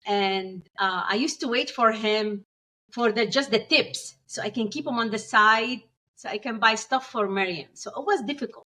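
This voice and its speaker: A woman's voice: a little high, normal speed, pushed and bright. Syrian-raised woman dentist telling her story. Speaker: dentist, guest. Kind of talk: interview storytelling.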